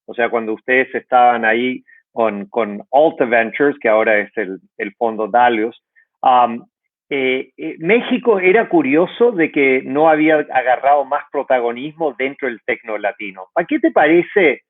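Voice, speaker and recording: A man's voice: medium pitch, moderate pace. A man hosting a podcast, asking questions in a conversational interview style. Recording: narrow, phone-like line.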